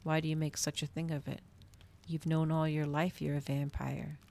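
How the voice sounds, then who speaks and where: A woman's voice: low voice, flat delivery, normal speed, gravelly, darkish tone. A woman voicing a domineering, cruel male vampire in a storybook reading, taunting and theatrical. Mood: insistent.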